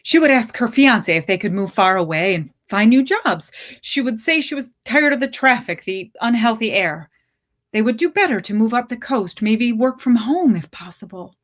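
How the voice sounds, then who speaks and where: A woman reading literary fiction aloud as a story narrator, with measured, deliberate phrasing. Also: on the high side, expressive. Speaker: story reader.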